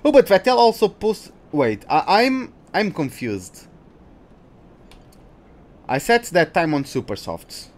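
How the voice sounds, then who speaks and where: A man's voice: high voice, huge pitch swings, slow pace, long pauses. A young man with a Portuguese accent, English not his first language, rambling through live racing-game commentary and greeting viewers. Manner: rambling, hesitant, frequent restarts and fillers. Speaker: gaming streamer.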